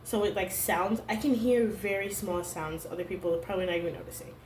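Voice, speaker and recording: A young adult woman vlogging to camera at home, talking in a halting, searching way full of "like" fillers. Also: mid-range voice, darkish tone, a little hiss or hum. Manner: hesitant, halting, heavy use of "like" fillers. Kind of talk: personal confessional vlog. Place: room at home. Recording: roomy home echo.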